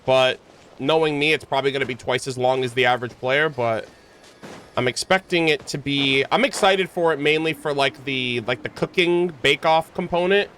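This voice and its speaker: A man chatting casually on a livestream as he streams games, rambling along in a halting way. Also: mid-range voice, fairly bright tone, slight background noise. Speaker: game streamer. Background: background music.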